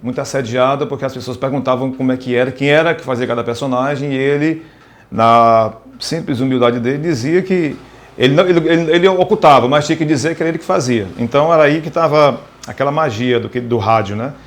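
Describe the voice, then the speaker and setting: A man recalling things spontaneously in halting, repetitive phrases. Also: mid-range voice. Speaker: interviewee. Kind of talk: spontaneous personal recollection.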